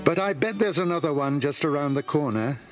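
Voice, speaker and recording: A man reads scripted narration for a children's story. His voice is a little high for a man, and music plays clearly behind him. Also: expressive, a little fast.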